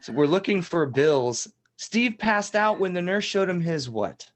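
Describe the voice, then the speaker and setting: A man's voice: sits high, sing-song, unhurried. A man playing a chatty, teasing game show host in an improvised comedy parody. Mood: teasing, upbeat.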